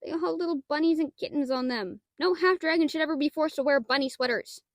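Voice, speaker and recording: A very high, girlish voice, recorded close and dry. It is a woman acting as a shy young half-dragon librarian, bookish and easily flustered, who stumbles over words in an intimate roleplay aimed at the listener. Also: fast talker, dry recording, close to an everyday voice. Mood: nervous, pleading. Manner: hesitant, stammering, bookish wording, easily flustered.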